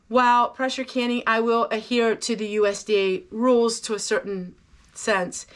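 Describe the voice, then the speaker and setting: A middle-aged woman giving a casual, folksy homestead how-to talk, chatty and explanatory. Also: on the high side. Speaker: home canning vlogger.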